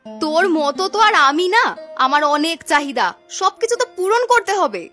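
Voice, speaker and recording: Woman voicing a shrill, bossy young village girl. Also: sing-song, normal speed, fairly bright tone, faint music behind, full character voice. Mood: defiant. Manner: bossy, argumentative, scolding. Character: greedy, stubborn young village farm girl, scheming granddaughter. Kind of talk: animated moral-story cartoon dialogue.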